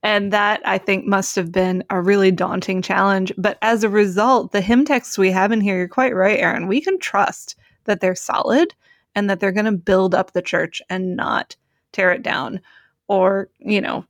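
A woman's voice: medium pitch, steady tone, moderate pace, no room sound, clean studio sound. A woman co-hosting a podcast, speaking in a thoughtful, conversational way. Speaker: podcast co-host. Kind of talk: casual conversation.

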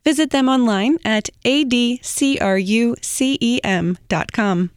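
Measured woman reading a disclaimer. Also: a little high, clean tone, dry recording, clean studio sound. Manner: measured, formal read. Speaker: announcer. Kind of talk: read sponsor credit and disclaimer.

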